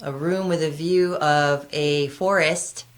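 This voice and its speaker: A woman's voice: low-pitched, steady tone, slow pace. A woman hosting a conversational health radio show as a doctor, speaking earnestly and directly to her listeners.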